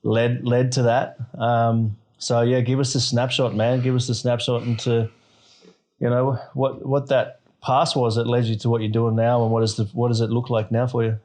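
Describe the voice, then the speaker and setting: A man's voice: medium pitch, level tone, moderate pace, a little mellow. A man hosting a podcast interview in an informal, conversational way, rephrasing his questions as he goes.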